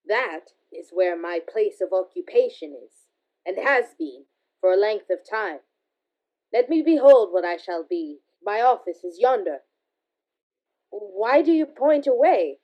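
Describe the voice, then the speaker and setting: An actress playing a frightened, pleading miser in a radio play, with halting delivery. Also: female voice, medium pitch. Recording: slightly dull lo-fi recording.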